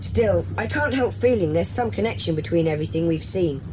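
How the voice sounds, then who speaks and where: A woman playing a bossy, sensible schoolboy leader in a children's audio drama, speaking in a brisk, decisive way. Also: on the low side, animated, background noise, natural voice. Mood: authoritative.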